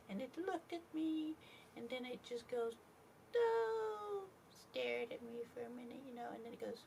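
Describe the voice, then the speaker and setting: A woman voicing a silly, puzzled little puppet lamb who rambles in a childlike, repetitive way in a soft improvised ASMR roleplay. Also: a little high, vocal fry. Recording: noisy home recording with steady hiss.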